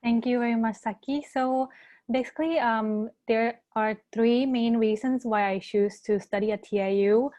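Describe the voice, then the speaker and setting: A woman's voice: on the high side, steady tone, normal speed, mellow, no room sound. A woman from Thailand on a webinar panel over a video call, laying out her points in order with fillers.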